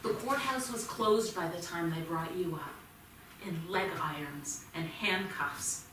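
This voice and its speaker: A woman's voice: fairly low pitch, unhurried, natural voice. An actress playing a stern, worried mother of a teenage son in a staged play reading. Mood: grave, firm. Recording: echoey theatre, distant mic, clear noise.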